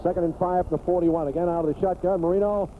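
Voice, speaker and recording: High-pitched male football announcer on tinny radio. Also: steady tone, quick pace. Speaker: play-by-play sports announcer. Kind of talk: live American football radio commentary. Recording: noisy tinny 1980s radio broadcast.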